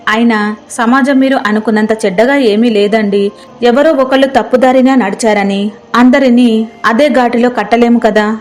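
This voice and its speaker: Gentle, high-voiced caring wife, acted by a woman. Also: natural voice. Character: caring, sensible wife of a rich businessman.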